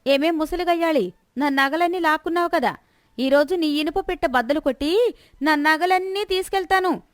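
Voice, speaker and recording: A woman's voice: sits high, moderate pace, no echo, clean studio sound, voice pushed up, lightly acted. A woman voicing a quarrelsome, greedy daughter-in-law who hurls threats in a family moral story. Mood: furious.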